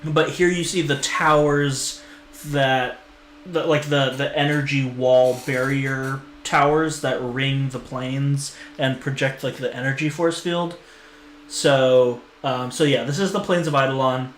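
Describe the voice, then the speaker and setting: Slow, halting man in an echoey room. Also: medium pitch, steady tone. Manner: hesitant, many fillers and restarts. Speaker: lore streamer. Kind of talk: casual stream commentary. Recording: echoey room with faint noise.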